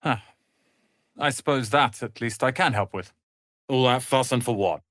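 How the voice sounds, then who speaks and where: Man acting as proud young male tiefling mage, video game character, sharp forceful tone, sardonic, theatrical, dry close recording. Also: medium pitch, moderate pace, no room sound, lightly acted. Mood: grudging, sardonic. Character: proud, bitter young male tiefling wizard, protective of siblings.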